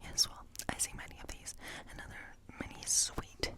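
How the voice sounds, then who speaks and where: A woman playing a quirky, playfully spooky witch in a whispered ASMR role play, murmuring haltingly and repeating words to herself. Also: lightly acted. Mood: musing, bashful. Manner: hushed, halting, muttering repetitions to herself.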